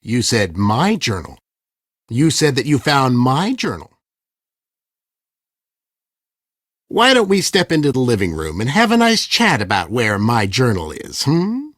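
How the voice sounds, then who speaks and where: An actor playing a smug, impatient schemer who poses as a police detective in an adventure game, with a condescending, theatrical delivery. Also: male voice, medium pitch, huge pitch swings, moderate pace, a bit bright, no echo, studio quality. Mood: smug, menacing. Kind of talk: scripted game character dialogue.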